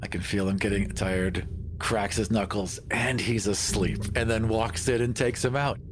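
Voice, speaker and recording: A man with a somewhat deep voice takes part in a panel chat on tabletop gaming. He speaks informally, as in casual conversation. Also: steady tone, normal speed, background noise. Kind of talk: casual tabletop game discussion.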